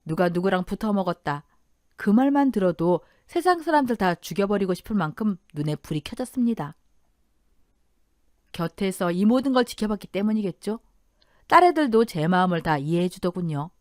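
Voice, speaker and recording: A woman narrating a scripted first-person story for an audio drama in an expressive, storytelling manner. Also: medium pitch, normal speed, dry recording, studio quality.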